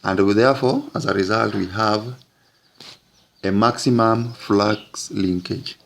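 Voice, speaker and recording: A man teaching physics, narrating an explanatory lesson in deliberate, halting phrases with frequent breaks. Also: on the low side, vocal fry, fairly bright tone.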